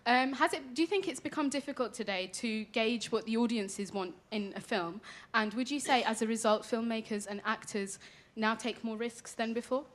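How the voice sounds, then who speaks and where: A woman's voice: on the high side, moderate pace. A woman in the audience politely asking a question into a microphone at a live show. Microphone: passed audience microphone. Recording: audience mic in theatre, crowd murmur.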